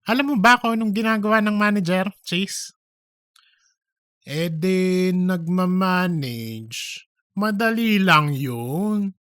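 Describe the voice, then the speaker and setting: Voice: medium pitch, slow pace, a bit bright, no echo, studio quality. A performer acting out chatty office colleagues in a comedic audio drama, with an exaggerated, teasing conversational manner. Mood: smug.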